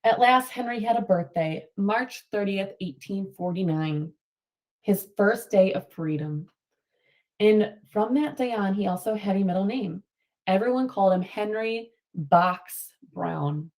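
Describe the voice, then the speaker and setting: Female teacher, unhurried delivery, read-aloud storytelling mixed with instructional prompts for young pupils. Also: mid-range voice, expressive, darkish tone, low-quality recording. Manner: instructional, prompting and affirming answers. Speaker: teacher reading aloud to children. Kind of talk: picture-book read-aloud with questions to a class.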